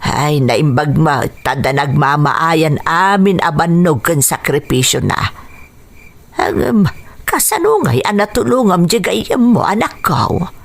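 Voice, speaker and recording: A woman playing a caring, curious mother in a radio drama, speaking in a gentle, unhurried way. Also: deep voice, animated, vocal fry, heavily acted. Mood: fond, curious.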